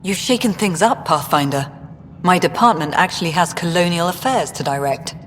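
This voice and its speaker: Brusque colony director, in reverberant hall. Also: male voice, high voice, moderate pace, fairly bright tone, slight background noise. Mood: dry, persuasive. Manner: brusque, dry, officious. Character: brusque, harried male space colony director. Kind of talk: video game dialogue acting. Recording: reverberant hall with music behind.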